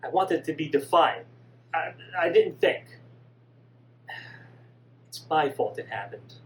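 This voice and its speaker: A man doing a soft, remorseful young son. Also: animated, slow pace. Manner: soft, pleading, lower and quieter. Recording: distant mic with faint hum.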